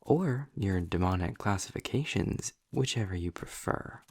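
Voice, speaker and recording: A man's voice: lively intonation, unhurried, a little mellow, dry recording, full character voice. A man playing a snarky, impatient male demon in an audio roleplay, speaking to the listener with theatrical, sardonic condescension. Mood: dry, condescending. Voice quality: low, gravelly, husky with breathy drops. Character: snarky, impatient male demon trapped in his own hell.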